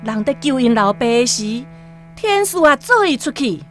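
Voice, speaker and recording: A woman with a Taiwanese accent narrating a literary radio drama as an expressive storyteller. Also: high voice, normal speed, soft background music.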